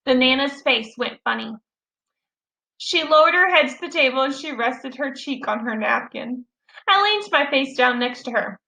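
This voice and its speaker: A woman reads a children's story in a high, bright voice. She narrates with childlike, expressive enthusiasm, and the room adds a noticeable echo. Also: normal speed, creaky. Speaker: teacher reading aloud. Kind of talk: animated children's story read-aloud. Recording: roomy echo.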